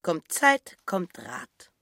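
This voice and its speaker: A grasping, self-righteous housewife of middle age in a radio drama. The voice is rough and husky, with big swings in pitch, recorded dry and close. She talks in prim stock phrases, full of indignation. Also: sing-song, drawn-out words, a bit bright, no room sound, soft background music, heavily acted. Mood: coy, prim.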